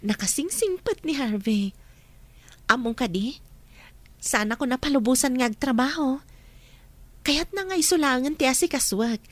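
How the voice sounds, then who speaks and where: High-voiced devoted young Filipino wife. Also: female voice, animated, moderate pace, pauses often, a bit bright, no echo. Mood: happy, loyal. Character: devoted young newlywed wife, contented and loyal. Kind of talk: Filipino radio drama acting.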